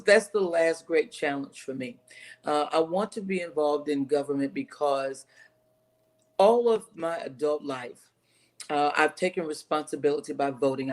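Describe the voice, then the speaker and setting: A middle-aged woman from Texas, giving earnest, emphatic interview answers over a video call and often repeating phrases. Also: deep voice. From Texas, United States. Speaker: interview guest, city council candidate.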